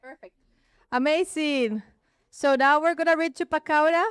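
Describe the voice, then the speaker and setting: A woman's voice: high voice, normal speed, dry recording. A woman hosting a live script reading on a theater stage, upbeat and encouraging. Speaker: event host.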